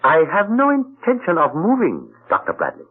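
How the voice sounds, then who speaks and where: A man's voice: a little high, huge pitch swings, moderate pace. An actor playing a shrewd, stiffly formal male detective in a 1940s radio drama, recorded as a tinny old broadcast. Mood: calm. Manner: stiffly formal, ornate phrasing.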